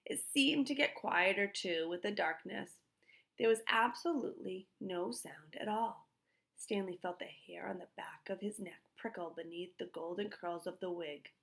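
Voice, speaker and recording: A woman narrates a children's storybook with expression. Her voice is bright and sits at a middling pitch. She reads as a storyteller addressing young listeners. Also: creaky. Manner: pauses to put questions to young listeners. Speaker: storyteller reading a children's book aloud. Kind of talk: expressive read-aloud narration.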